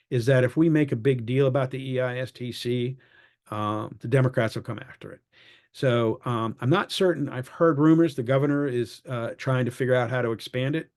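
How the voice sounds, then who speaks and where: A man's voice: mid-range voice, darkish tone. Man giving an advocacy update. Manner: conversational, many fillers and restarts. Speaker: advocacy group leader. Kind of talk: online meeting update and Q&A.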